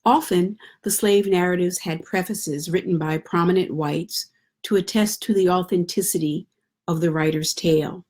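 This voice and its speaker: A woman literature professor giving a measured scholarly talk over a video call. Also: fairly low pitch, steady tone, darkish tone, breathy edge. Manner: measured, lecturing, occasional restarts. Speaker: literature professor, guest speaker. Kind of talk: scholarly talk at an online event.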